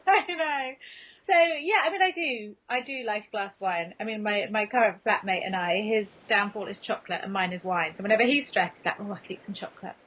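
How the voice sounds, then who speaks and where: A woman's voice: medium pitch, lively intonation, fairly quick. A woman talking candidly and casually as a podcast guest, telling comic anecdotes in a self-deprecating way, on a muffled lo-fi recording. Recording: dull, muffled lo-fi podcast audio.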